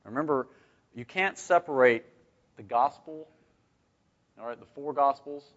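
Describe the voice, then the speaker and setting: A man's voice: mid-range voice, measured pace. A man teaching scripture informally to a group as a Bible study leader, conversational and often putting questions to his listeners. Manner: conversational, rhetorical questions, asks "right?". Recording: dull, muffled lo-fi recording.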